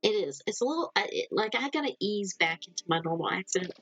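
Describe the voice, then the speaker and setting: A woman's voice: mid-range voice, animated, quick pace, fairly bright tone, husky, no echo, soft background music. A woman with a mild Southern American accent, chatting loosely with her live audience, rambling and laughing often. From Southern United States. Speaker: livestream host. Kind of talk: casual chat with a live audience.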